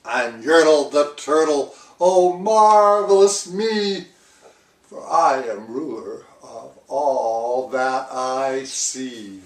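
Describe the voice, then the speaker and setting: A man's voice: medium pitch, animated, extremely slow, echoey room, recorded from a distance. A man voicing a pompous, bullying turtle king in a children's storybook, with grandiose, commanding bellows. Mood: gloating. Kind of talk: theatrical children's storybook read-aloud.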